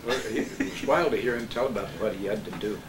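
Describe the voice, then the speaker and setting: Older male guest, lowish voice, halting casual storytelling, very noisy echoey recording. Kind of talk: casual anecdote telling. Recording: very noisy, echoey, distant mic.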